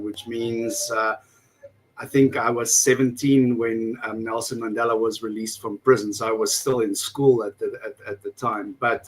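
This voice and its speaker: A man's voice: mid-range voice, low-quality recording. A man in his forties with a South African accent, talking as a guest in a casual interview, rambling and hesitant with many fillers. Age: 40s. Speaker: guest activist.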